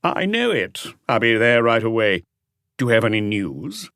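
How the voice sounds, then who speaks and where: A man's voice: mid-range voice, very animated, normal speed, fairly bright tone, dry recording, studio quality. A man voicing an affable, familiar male doctor in an audiobook detective story. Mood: chatty.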